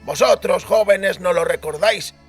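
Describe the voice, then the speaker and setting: Elderly nobleman for audiobook drama, male actor, high-pitched, reminiscing storyteller, music underneath. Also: moderate pace, husky. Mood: nostalgic. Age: older. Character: frail old duke fondly recalling his royal grandfather.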